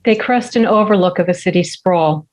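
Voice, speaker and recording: A woman's voice: on the low side, normal speed, a little mellow. A woman reading scripted screenplay stage directions as narrator at a table read. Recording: dull, lo-fi remote audio.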